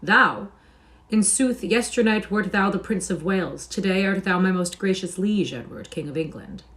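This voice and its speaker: A woman's voice: medium pitch, normal speed, a bit bright, natural voice. A woman voicing a deferential male courtier who speaks formally in archaic English.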